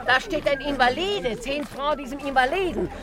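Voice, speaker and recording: Imperious old aunt, wildly animated. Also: female voice, high-pitched, moderate pace, a crowd in the background. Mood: bossy, generous. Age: older. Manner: commanding, repeats words in excited bursts. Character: imperious, capricious rich old Russian aunt, gambling-happy. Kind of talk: radio drama acting.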